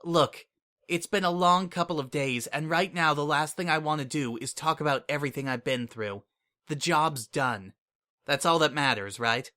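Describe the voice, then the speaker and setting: A man voicing a tired, guarded young female unicorn in an audiobook-style reading, with a slightly raised pitch and a defensive edge. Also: normal speed, bright, forceful tone. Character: weary, defensive young mare unicorn on the run.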